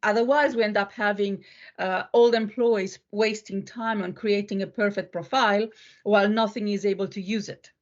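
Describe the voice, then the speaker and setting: A woman of average pitch speaks as a panelist, explaining things step by step. Her delivery is conversational. The recording is somewhat dull and lo-fi. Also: steady tone, moderate pace. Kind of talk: casual panel discussion.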